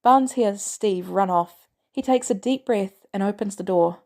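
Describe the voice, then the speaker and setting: A woman reading fiction aloud as an audiobook narrator, in an expressive storytelling style. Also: mid-range voice, measured pace. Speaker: audiobook reader.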